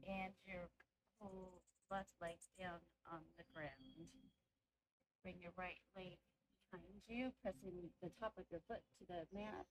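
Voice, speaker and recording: Yoga instructor, young woman, slightly stuffy and nasal from allergies, unhurried and encouraging, medium pitch. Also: rough recording. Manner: slow, calm cueing with soft encouragement. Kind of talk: guided yoga class instruction.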